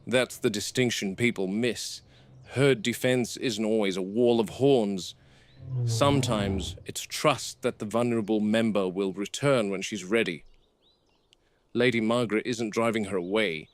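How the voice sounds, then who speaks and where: A man playing a seasoned, watchful game warden, recording an analytical field log in measured, clipped observations. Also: fairly low pitch, no room sound, natural voice. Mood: clinical, calm. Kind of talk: found-footage field log monologue. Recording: noisy VHS-style found-footage audio.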